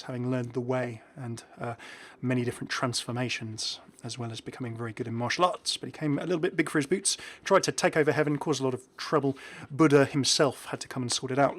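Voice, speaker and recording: Man, mid-pitched, casual gaming commentary, chatty, thinking out loud. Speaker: gaming video host. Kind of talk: casual game update commentary.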